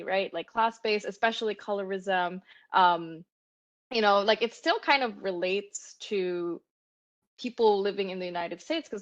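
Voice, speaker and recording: Woman, from the Philippines, casual panel talk, chatty with fillers, muffled video call audio. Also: mid-range voice. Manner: chatty, laughs often, many "like" fillers. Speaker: educator on a webinar panel.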